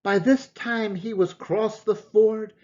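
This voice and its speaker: A man giving a theatrical, expressive reading of a narrative poem in Scots dialect. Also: high-pitched, takes it slowly, lo-fi sound. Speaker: poetry reader.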